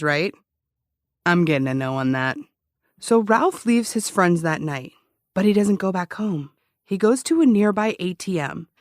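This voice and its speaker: A woman's voice: on the low side, no echo, clean studio sound. A woman playing an obsessive, defensive ex-cop who hosts a true-crime podcast, telling it conversationally with wry emphasis. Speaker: true-crime podcast host, former police officer. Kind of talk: conversational scripted narration in an audio drama. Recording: music behind the voice. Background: music.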